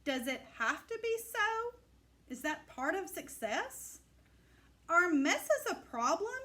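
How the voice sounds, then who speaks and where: A woman's voice: very high-pitched, sing-song, slow pace, sharp tone, exaggerated voice. A woman voicing a curious little girl scientist in a storybook, with an eager, emphatic questioning manner. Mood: wondering. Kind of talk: storybook character voice.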